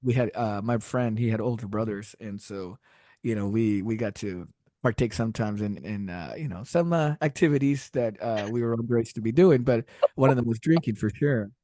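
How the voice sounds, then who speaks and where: A man's voice: fairly low pitch, normal speed, mellow, no room sound. A middle-aged man from Texas whose first language is Spanish, telling stories as a podcast guest, rambling and laughing often. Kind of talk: casual interview storytelling.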